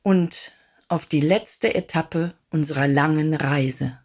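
A woman narrating a radio drama in a solemn, measured way. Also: deep voice, a little mellow.

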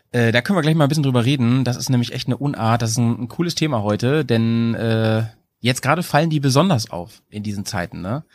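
A man hosting a casual motorbike podcast, joking and lively with his guests over a call. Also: mid-range voice, no echo. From Bremen, Germany. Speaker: podcast host. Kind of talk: casual chat.

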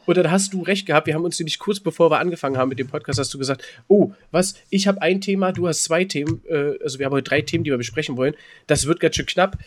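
A man with a slightly high voice chats casually as a podcast co-host. He teases as he goes and rambles through his stories, often repeating words. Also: fast. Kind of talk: casual banter and storytelling.

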